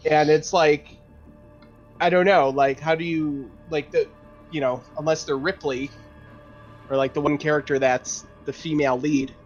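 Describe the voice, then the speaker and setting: Higher-voiced man chatting, slightly dull audio. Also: fairly even intonation, normal speed, faint music behind. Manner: jokey, slangy, profane. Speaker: tabletop game player. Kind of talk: casual chat.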